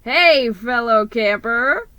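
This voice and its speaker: Brawny storybook rat, male, loud and cheerful, bright forceful delivery, performed by a woman. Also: on the high side, expressive, takes it slowly, smooth, no echo, full character voice. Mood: hearty greeting. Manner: loud, yelling, hearty.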